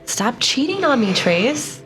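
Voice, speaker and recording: A woman's voice: sits high, sing-song, slow. A woman playing a tabletop role-playing game, chatting casually with the group. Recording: prominent music under voice.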